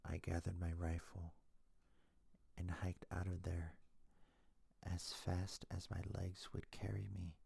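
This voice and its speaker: Husky, whispering deep-voiced man, very slow. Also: little pitch movement, dry recording. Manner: hushed, deliberate, with long pauses between short phrases. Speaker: ASMR storyteller. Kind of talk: ASMR soft-spoken scary story narration. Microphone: close mic, dry.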